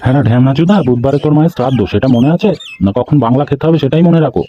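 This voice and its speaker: A man's voice: mid-range voice, racing, dull audio, a little hiss or hum, natural voice. A man voicing a cranky, scolding, foul-mouthed elderly grandfather in a comedy cartoon. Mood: scolding, irritated. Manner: scolding, heavy swearing.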